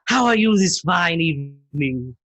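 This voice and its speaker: A man's voice: fairly high pitch, animated, a little slow, a bit bright, no room sound. A man voicing a classy, petty male villain who deals drugs with theatrical flourish. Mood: grandly sly.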